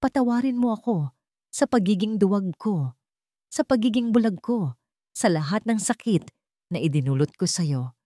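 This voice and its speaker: A woman's voice: medium pitch, animated, moderate pace, long pauses, dark tone, dry recording, studio quality, pitched above the performer's own voice, lightly acted. Woman voicing a remorseful, pleading rich businessman. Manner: pleading, earnest. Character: remorseful wealthy male CEO, broken and pleading for forgiveness. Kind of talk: dramatic Tagalog audio story.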